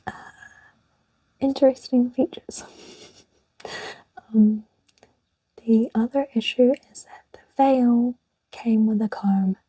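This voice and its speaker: A woman's voice: on the high side, fairly even intonation, slow, pauses often, mellow, breathy edge, no room sound, poor audio. A young woman whispering in a rambling, chatty way for an ASMR video, close to the mic. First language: English. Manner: rambling and chatty, with little laughs. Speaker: ASMR channel host.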